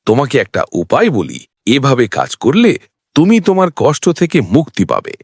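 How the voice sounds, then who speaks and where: A man's voice: mid-range voice, huge pitch swings, moderate pace, breathy edge, no room sound, studio quality, full character voice. A man voicing a kindly, wise holy man in a cartoon story, speaking in an instructive, fatherly way. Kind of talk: dubbed cartoon morality tale.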